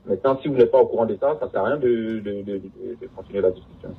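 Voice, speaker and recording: Male caller, lowish and very rough-voiced, halting and stammering, heard over a narrow, muffled phone line. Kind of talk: phone conversation. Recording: muffled leaked phone call, distant, narrowband.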